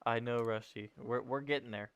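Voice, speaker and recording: A man's voice: mid-range voice, steady tone, normal speed, fairly bright tone, clean tone, lo-fi sound. A twenty-year-old man casually chatting while streaming a game, rambling and answering viewers as he plays. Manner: rambling, self-correcting, answering chat. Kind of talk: casual gaming livestream chat.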